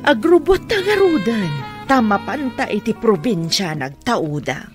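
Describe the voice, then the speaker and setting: A woman's voice: mid-range voice, big pitch swings, unhurried, music in the background. A woman with an Ilocano accent playing a frank, nosy middle-aged mother in a radio drama. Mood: teasing.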